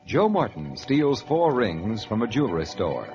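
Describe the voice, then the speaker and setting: A man's voice: mid-range voice, sing-song, unhurried. A male announcer reading scripted narration in the style of 1940s radio drama. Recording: dull, noisy old radio recording. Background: loud music.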